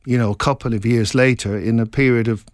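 Middle-aged male author from Scotland, mid-pitched, reflective and rambling interviewee. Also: steady tone, no echo, studio quality. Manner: reflective, meandering, halting with many restarts. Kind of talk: casual interview chat.

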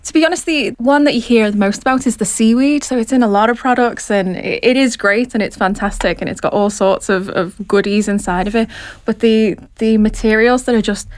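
A woman's voice: mid-range voice, no echo. A woman in her thirties with a Liverpool accent, a business founder answering interview questions with chatty enthusiasm. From Liverpool, England. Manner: enthusiastic, chatty, laughs easily.

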